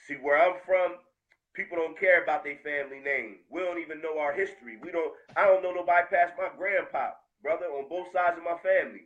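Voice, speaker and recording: A man from the United States speaking heartfelt and animated in a casual conversation, full of street slang. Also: on the high side, a little fast, narrow, tinny audio.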